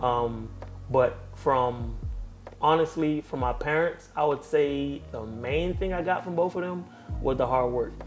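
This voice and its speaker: A man with a Haitian-influenced accent, a tattoo artist talking casually as an interview guest, relaxed, with street slang and easy laughs. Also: mid-range voice, a little slow, soft background music. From second-generation Haitian family. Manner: laid-back street slang, laughs easily.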